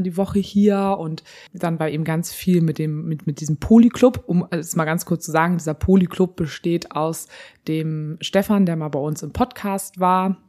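A slightly hoarse woman co-hosting a podcast in casual chat, laughing often and rambling through restarts. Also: on the low side, darkish tone. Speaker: podcast co-host.

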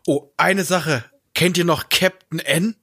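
A man's voice: on the high side, fairly even intonation, takes it slowly, pushed and bright, husky, no echo, clean studio sound. A man chatting informally as a podcast panelist. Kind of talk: casual podcast chat.

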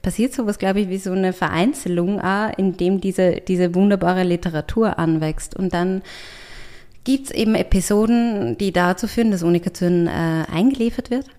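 Woman author guest, slightly low voice, reflective, pauses to find words. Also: warm tone. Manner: thoughtful, searching for words with hesitations. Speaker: writer and artist, interview guest. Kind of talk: reflective conversational interview.

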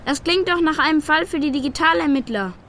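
A woman's voice: sits high, brisk. A woman playing an eager, polite and inquisitive young child detective in a scripted children's audio drama. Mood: curious, eager.

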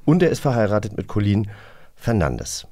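A man's voice: fairly low pitch, huge pitch swings, normal speed, warm tone. A man hosting a casual quiz chat as the presenter of a talk show. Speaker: host.

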